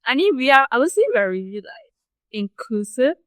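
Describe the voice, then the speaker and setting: A young woman chatting as a podcast guest, a little halting, with lots of "like". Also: high voice, big pitch swings, a bit bright, dry recording, studio quality. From Malaysia. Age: young adult. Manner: halting, frequent 'like'. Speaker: podcast guest, international student. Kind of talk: casual conversation.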